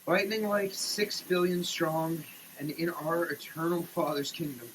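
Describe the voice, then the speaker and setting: A man giving a theatrical, declamatory dramatic reading, swinging between hushed delivery and shouting, as a comic performance. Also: fairly high pitch, fairly even intonation, a little slow, slight background noise, low-quality recording. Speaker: sketch comedy performer. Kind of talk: dramatic reading of a product label. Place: shower.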